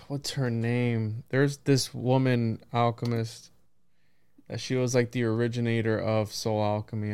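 A man with a very clear, smooth voice hosts a relaxed podcast conversation. He speaks informally and rambles a little, working out his thoughts as he goes. His pitch sits in an ordinary middle range for a man. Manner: informal, rambling, thinks aloud. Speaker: podcast host. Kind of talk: casual conversational interview.

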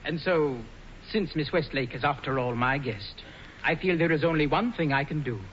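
An actor playing an earnest, chivalrous, excitable young gentleman, formal and high-strung, in a 1940s radio comedy style. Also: normal speed, natural voice. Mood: stiffly noble. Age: young adult. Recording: muffled old radio broadcast with hiss.

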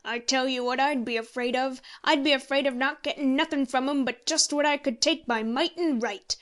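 A woman's voice: a little high, fairly even intonation, normal speed, a bit bright, close to an everyday voice. Woman as a growling, bitter old man. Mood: scornful. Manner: growling, scornful muttering. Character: growling, bitter, contemptuous old male pensioner.

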